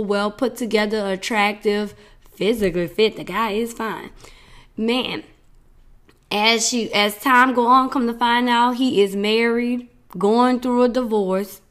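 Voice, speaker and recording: A woman hosting her own podcast, talking in a chatty, rambling way with frequent laughs while she is losing her voice. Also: a little high. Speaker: podcast host. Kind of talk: casual solo podcast talk.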